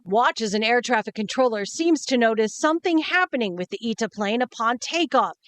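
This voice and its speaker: Higher-voiced woman reporting the news. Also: expressive, fairly quick, slight background noise. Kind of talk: scripted news narration.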